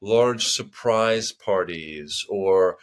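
A man's voice: sits low, extremely slow. An American man chatting casually as a podcast guest, rambling and filling his sentences with "you know" and restarts. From United States. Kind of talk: casual conversation.

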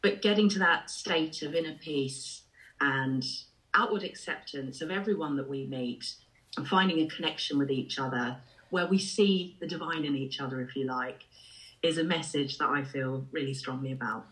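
A woman in her forties with an English accent, born in Ireland, talking as a podcast guest in an expressive, reflective way. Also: low voice, moderate pace, poor audio. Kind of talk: warm reflective conversation.

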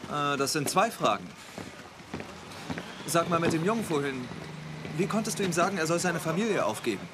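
A man's voice: a little high, big pitch swings, normal speed, background noise. An actor playing a blunt, loyal young man, a protective older brother, in dramatic dialogue. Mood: challenging. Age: young adult. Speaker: actor in an audio drama or dubbed series. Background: loud music.

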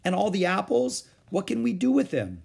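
A man voicing an exasperated, responsible older sister in a storybook reading, speaking in a sharp, scolding way. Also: high-pitched, wildly swooping pitch, moderate pace, creaky, slightly rough, voice pushed up, full character voice. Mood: worried.

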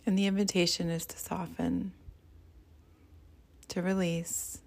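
A woman meditation teacher with a fairly low voice and a gravelly fry at the edges. She guides gently and soothingly, taking her time at a very slow pace. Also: flat delivery. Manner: soothing, gentle, unhurried guiding.

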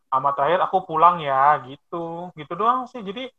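A man's voice: on the high side, lively intonation, normal speed. A man in his twenties chatting casually and telling stories with quoted voices. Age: 20s. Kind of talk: casual chat. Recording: dull lo-fi sound.

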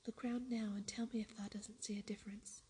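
A woman's voice: medium pitch, level tone, fairly quick, slightly rough. A woman whispering as Dickon, a kind Yorkshire farm boy. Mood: gentle. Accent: Yorkshire dialect. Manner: whispered, gentle.

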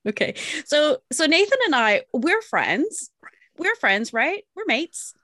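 A woman's voice: sits high, slow, no echo, studio quality. An American woman hosting a podcast, teasing her guests and swearing freely in casual chat. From United States. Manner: teasing, foul-mouthed, lively. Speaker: podcast host.

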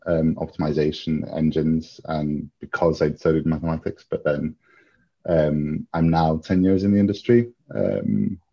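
Male interview guest from Greece, deep and mellow, halting and thoughtful delivery. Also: gravelly. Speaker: interview guest, software engineer. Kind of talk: reflective interview talk. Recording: dull, muffled lo-fi audio.

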